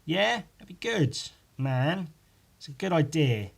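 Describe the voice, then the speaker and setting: A man with a croaky voice rambling casually on his own as a podcast host, informal and sweary, poking fun at himself and tacking questions onto his remarks. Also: fairly high pitch, very animated, slow pace. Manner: sweary, informal, self-deprecating, with tag questions. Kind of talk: casual rambling solo chat.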